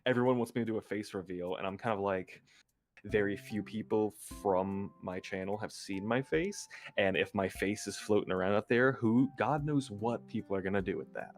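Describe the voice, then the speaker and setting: Low-voiced man in casual stream chat. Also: normal speed. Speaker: ASMR content creator, stream guest. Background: music.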